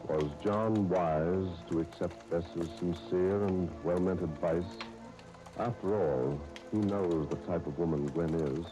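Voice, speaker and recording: A man's voice: low-pitched, slow, over music. An American man reading scripted announcements as a 1930s radio serial announcer. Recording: noisy 1930s radio disc recording.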